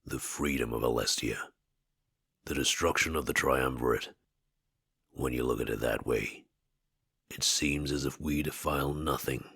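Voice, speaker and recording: A man voicing a calm ancient spirit in a borrowed body for a video game, speaking in a formal, measured, philosophical way. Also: no echo, clean studio sound, full character voice. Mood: solemn, measured. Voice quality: very deep, husky. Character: calm ancient male spirit inhabiting a dead man's body.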